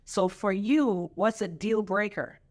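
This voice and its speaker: A woman pastor hosting a casual chat, coaching her guest with lively, repetitive emphasis and preacherly turns of phrase. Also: medium pitch, takes it slowly. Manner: preacherly scripture quoting. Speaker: pastor and show host.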